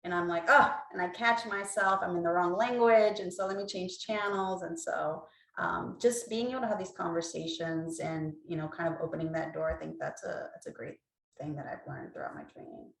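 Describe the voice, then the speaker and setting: A woman on a panel telling warm anecdotes about her training, over a video call. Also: fairly low pitch, expressive, normal speed, creaky, roomy sound, distant mic, rough recording. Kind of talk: panel discussion.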